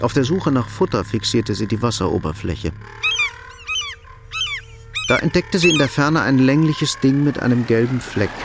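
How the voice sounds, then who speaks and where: A man's voice: mid-range voice, animated, normal speed, long pauses, over music. A man reading scripted literary narration for a radio drama.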